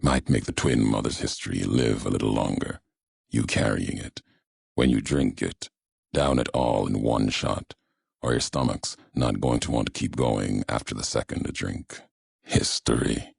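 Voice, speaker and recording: Very deep, gravelly, brooding wasteland wanderer. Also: male voice, dry recording, clean studio sound, exaggerated voice. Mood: measured, instructive. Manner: slow, solemn, cryptic, clipped phrasing. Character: grim, brooding male wasteland courier haunted by history. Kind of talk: scripted video game dialogue.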